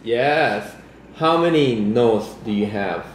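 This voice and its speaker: A man's voice: medium pitch, expressive, very noisy. Man, patient, echoey room. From Thailand. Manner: patient, quick agreeing fillers. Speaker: father tutoring his son. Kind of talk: casual home chat and English practice. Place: indoors at a home dining table. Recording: echoey home room with music.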